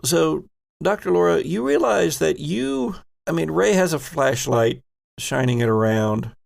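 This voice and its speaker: A man narrating a horror sci-fi tabletop game as game master, descriptive, with deliberate halting pauses. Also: medium pitch, vocal fry.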